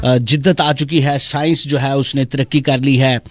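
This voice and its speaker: Man, lower-pitched voice, Pakistani Punjabi accent, live radio host, playful teasing banter, mock-serious delivery, loud music underneath. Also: little pitch movement. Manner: switches between languages mid-talk.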